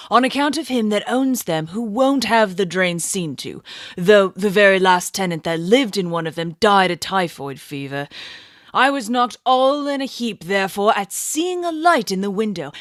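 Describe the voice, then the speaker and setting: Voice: medium pitch, no echo, clean studio sound, natural voice. A performer voicing a garrulous, superstitious working-class London police constable in a dramatized audiobook, with colloquial, rambling storytelling.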